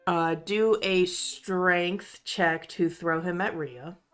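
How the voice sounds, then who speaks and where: A woman's voice: fairly low pitch, steady tone, slow, clean tone. A woman acting as game master, narrating a tabletop roleplaying game. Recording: music under the voice. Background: instrumental music.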